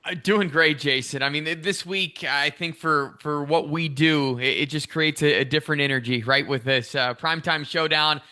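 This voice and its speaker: A man's voice: fairly high pitch, moderate pace, few pauses, sharp tone. A man who works as a play-by-play announcer, chatting as a guest in a casual sports interview.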